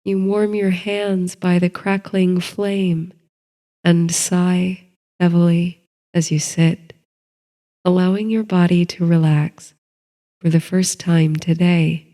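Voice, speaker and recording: Slow, hushed-toned woman narrating a sleep story. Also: fairly low pitch, flat delivery. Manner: gentle, unhurried, measured. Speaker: host, sleep story narrator and meditation guide. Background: soft background music.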